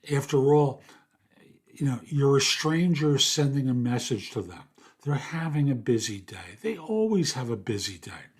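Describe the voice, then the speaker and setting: An older man, a podcast host and career coach, gives plain-spoken advice in a raspy, hoarse voice. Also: on the high side. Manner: plain-spoken, steady, practical. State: hoarse after an illness. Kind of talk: casual advice monologue.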